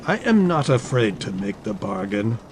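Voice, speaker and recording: A man playing a scheming army captain in a dramatic audiobook, speaking formally with smooth menace. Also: on the low side, sing-song, moderate pace, darkish tone, a little hiss or hum. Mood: calculating, bargaining. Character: scheming, coercive male army captain, a suave villain. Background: ambience under the voice.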